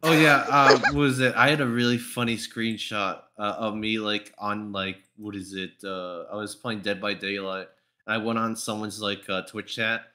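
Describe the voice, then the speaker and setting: Bright-voiced, stammering man on a podcast. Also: lowish voice, normal speed. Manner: stammering, excitable, repeats words. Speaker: podcast panelist. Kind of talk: casual group chat.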